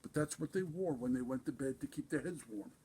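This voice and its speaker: A man playing a kindly Santa Claus with a husky voice, reading a storybook to children with gentle, folksy, explaining asides. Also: medium pitch, fairly quick, low-quality recording. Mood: gently explaining. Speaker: guest reader at a benefit concert.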